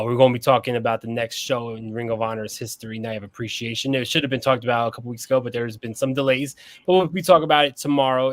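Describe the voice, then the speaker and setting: A man's voice: mid-range voice, no echo. Dry-sounding man previewing a podcast episode. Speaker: podcast co-host.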